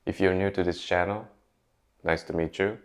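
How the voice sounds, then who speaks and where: A young man with a Japanese accent speaks to camera in a relaxed, unscripted way. His voice sits very low. He pauses and restarts often, leaning on fillers. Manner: conversational, many fillers and restarts. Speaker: YouTuber. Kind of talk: casual talk to camera.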